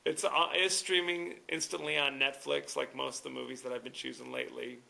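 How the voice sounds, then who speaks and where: A man's voice: mid-range voice, little pitch movement, brisk, gravelly, pushed and bright, rough recording. A man hosting a movie podcast alone, talking casually in a wry, rambling way with chuckling asides. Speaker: podcast host. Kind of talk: casual solo movie review.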